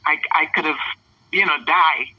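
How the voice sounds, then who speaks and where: An older woman from Trinidad, an author answering interview questions slowly, with many ums and restarts. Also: low voice, steady tone, no echo. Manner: hesitant, many ums and restarts, soft laughs. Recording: distant, dull phone-line sound.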